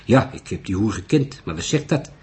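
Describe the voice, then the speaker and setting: A man's voice: on the low side, animated, normal speed, dark tone, slightly rough. Man acting a defiant, stubborn rich suspect. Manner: indignant, stubborn, emphatic. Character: defiant, wealthy round-faced male murder suspect.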